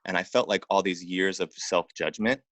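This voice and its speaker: A man from Oregon speaking as an interview guest, telling personal stories casually in an informal, halting way with repeated phrases. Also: low-pitched, fairly bright tone, dry recording. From Oregon, United States. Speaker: interview guest, acupuncturist and massage therapist.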